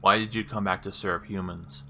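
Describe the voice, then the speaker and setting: A man's voice: sits low, fairly even intonation, normal speed, bright, forceful tone, a little hiss or hum. A man demonstrating a game voice assistant, giving spoken commands and questions with casual commentary.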